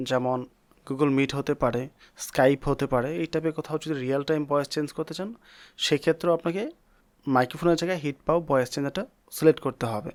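A man hosting a software tutorial, explaining casually and mixing in English words. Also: on the high side. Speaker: tech tutorial host. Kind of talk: screen-recorded software tutorial.

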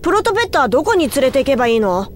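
High-voiced boyish young hero. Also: female voice, sing-song, rapid-fire, background noise. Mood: determined, casual. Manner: casual, brash boyish talk. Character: young male furry cat-like hero, cocky and boyish. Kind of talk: video game cutscene acting.

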